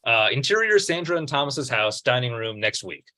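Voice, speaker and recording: A man's voice: medium pitch, sing-song, normal speed, pushed and bright. A man reading out a script's stage directions as scripted narration at a table read.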